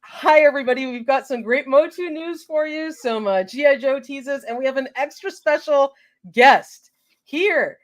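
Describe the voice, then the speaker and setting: A woman hosting a live show in casual chat, a little congested from a cold, laughing easily as she reads out viewer names. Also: high voice.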